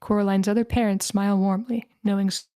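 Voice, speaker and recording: Lady reading script directions as narrator, medium pitch, dry mic no echo. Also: flat delivery, fast talker, mellow, clear, resonant tone.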